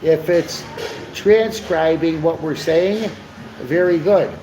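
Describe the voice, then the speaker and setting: A man's voice: a little high, slow pace, warm tone. A man co-hosting a live stream, chatting in a rambling, preachy way with lots of stammered restarts. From USA. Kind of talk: rambling casual chat. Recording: noisy live stream with background hum.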